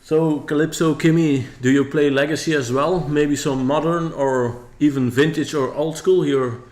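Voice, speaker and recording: A Belgian man with a Flemish accent, a native Dutch speaker, adding quiet, brief remarks as co-commentator on a card game stream. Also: fairly high pitch, fairly even intonation, normal speed. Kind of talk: casual commentary. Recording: roomy echo.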